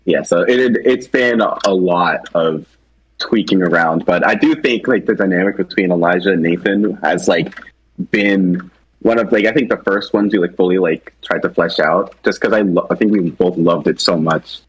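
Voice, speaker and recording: A man chatting casually as a co-creator about original characters, slangy and hesitant, quick to laugh. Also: sits low, slightly rough, low-quality recording. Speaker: co-creator of an animated series project.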